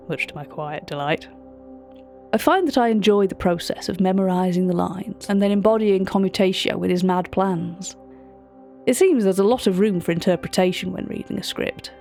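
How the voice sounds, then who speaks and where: An actress playing a chatty, wry British gallery writer, telling a story directly to a listener in audio drama narration. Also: female voice, lowish voice, a little mellow, natural voice.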